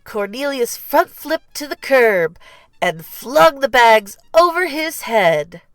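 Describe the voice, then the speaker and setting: A woman narrating a children's storybook in an expressive, deliberate read-aloud style. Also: high-pitched, no room sound. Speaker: storybook reader.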